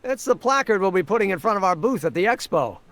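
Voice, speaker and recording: Eager, high-pitched young scientist. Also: male voice, sing-song, quick pace, a bit bright, faint music behind, exaggerated voice. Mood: chatty, enthusiastic. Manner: precise, enthusiastic explaining. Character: eager, earnest young male amateur scientist. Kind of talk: video game character acting.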